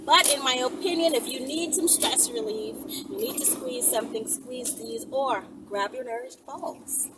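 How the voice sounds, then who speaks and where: A woman with a fairly high voice talks in a loose, rambling vlog style. She is brash and playful and swears freely. The mic sits some way off, and there is clear noise behind her. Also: normal speed, hardly any pauses, recorded from a distance. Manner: brash, playful, foul-mouthed. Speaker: video creator. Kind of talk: casual rambling vlog chat to viewers.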